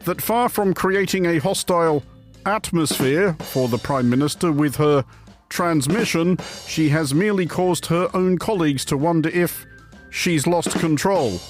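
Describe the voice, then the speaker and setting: A male radio presenter reads satirical news narration in a deadpan, wry way. His voice sits a little high for a man, and his sentences are long and wordy. Light music plays underneath. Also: measured pace.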